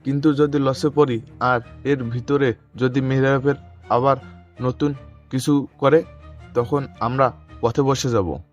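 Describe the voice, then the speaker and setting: With music faintly behind, a man plays the grown son of a crooked tycoon in an audio drama. He sounds questioning and conspiratorial, in an ordinary male pitch. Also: steady tone, takes it slowly, long pauses, dull audio, lightly acted. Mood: worried. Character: anxious, scheming grown son of a villain tycoon. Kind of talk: audio story acting.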